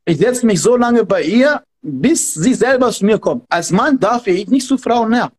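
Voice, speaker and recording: A man's voice: high-pitched, animated, moderate pace, darkish tone, breathy edge. A man who talks in an emphatic, fervent way and repeats himself, giving advice as a martial arts instructor in a podcast conversation. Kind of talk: passionate podcast talk.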